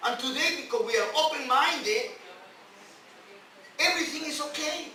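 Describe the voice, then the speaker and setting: A man who is a non-native English speaker, a rabbi giving an emphatic sermon in halting phrases with repeats and rhetorical questions. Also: very high-pitched, animated, bright, forceful tone. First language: not English. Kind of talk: sermon on scripture. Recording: distant, very echoey, noisy room recording.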